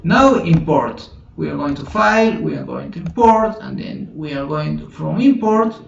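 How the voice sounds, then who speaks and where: A man whose first language is Spanish talks through a software tutorial slowly and haltingly, correcting himself as he goes. His voice is gravelly and a little high. He sounds set back from the microphone in a roomy space, on a dull, lo-fi recording. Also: expressive, far from the mic, slight background noise. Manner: halting, thinks aloud, many restarts and self-corrections.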